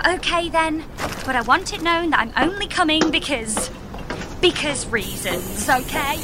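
An actress playing a sarcastic, exasperated young heroine in a sci-fi audio comedy, blunt and incredulous. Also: female voice, high voice, animated, unhurried, heavy background noise. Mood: reluctant. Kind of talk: audio comedy drama acting. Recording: music under the voice.

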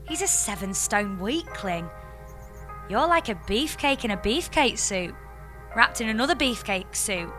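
A woman's voice: on the high side, sharp tone, exaggerated voice. A woman playing a lone space traveller in an audio comedy, exasperated, sarcastic and given to swoony flirting. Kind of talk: scripted audio comedy sci-fi drama.

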